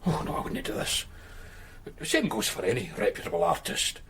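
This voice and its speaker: A blunt Glasgow tattoo artist. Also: male voice, medium pitch, huge pitch swings, a little fast, a bit bright, slightly rough, exaggerated voice. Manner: blunt, matter-of-fact, impatient. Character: blunt male tattoo artist, proud of his craft. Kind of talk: radio drama acting.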